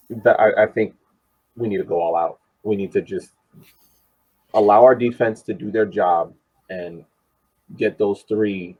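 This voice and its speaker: A man on a tinny, phone-like call giving casual sports commentary as a panelist, halting and deliberate as he thinks aloud. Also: low-pitched, breathy edge. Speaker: podcast panelist. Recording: tinny, muffled phone-like line.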